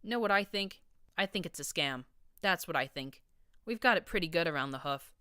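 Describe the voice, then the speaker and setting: A harsh, grating male pony rants on the radio, loud and full of scorn, as a woman reader puts him on. The sound is bright and crisp, with a low-set voice pushed up into his tirade. It is close and dry, with no room around it. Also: animated, normal speed, no echo. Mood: ranting, scornful.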